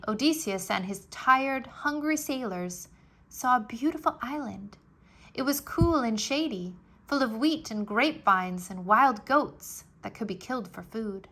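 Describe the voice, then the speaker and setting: High-pitched woman reading a children's storybook. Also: fairly bright tone. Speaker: narrator reading a children's history book aloud. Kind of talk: expressive scripted storybook narration.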